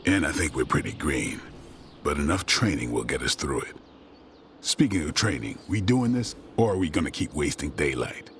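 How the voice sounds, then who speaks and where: An actor playing a gruff, stoic vampire hunter in a video game, terse and deadpan, with dry loyalty. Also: male voice, moderate pace, slight background noise. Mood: dry, blunt. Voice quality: very deep. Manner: terse, deadpan, dryly blunt. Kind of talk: video game cutscene dialogue. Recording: music under the dialogue. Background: music.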